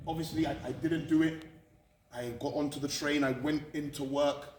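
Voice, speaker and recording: A man's voice: a little high, steady tone, moderate pace, a little mellow. British man speaking in an echoey room. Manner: candid, emphatic, repeats phrases for effect. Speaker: motivational speaker at a college. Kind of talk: personal motivational talk to students. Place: indoor room at a college. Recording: echoey room from far off, faint noise.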